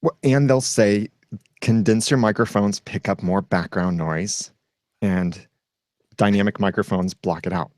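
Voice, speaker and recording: A man's voice: on the low side, fairly even intonation, a little slow, a little mellow, dry recording. A man speaking as a guest in a casual roundtable chat, explaining at length with a self-deprecating streak. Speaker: podcast guest.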